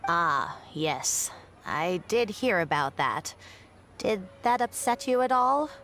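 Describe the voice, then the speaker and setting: An older woman scholar in a video game. Her voice sits very low for a woman. She speaks in measured, reflective phrases with a composed, wry edge, over faint music. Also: big pitch swings, fairly bright tone, exaggerated voice. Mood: fond, reflective. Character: elderly woman scholar, former teacher, composed and reminiscing. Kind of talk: scripted video game dialogue.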